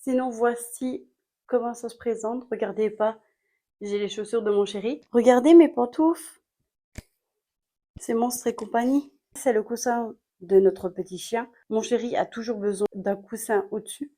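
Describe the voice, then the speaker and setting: Woman, vlogger, mellow tone, candid rambling chat, slight cold, bedroom, phone camera. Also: fairly high pitch, normal speed. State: has a cold. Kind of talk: casual vlog chat to camera. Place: home bedroom.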